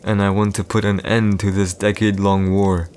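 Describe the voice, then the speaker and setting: A man's voice: lowish voice, little pitch movement, normal speed, darkish tone, a little hiss or hum, natural voice. A man playing a haughty, teasing villain emperor in an ASMR audio roleplay, with formal, condescending, old-fashioned diction. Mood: earnest, solemn.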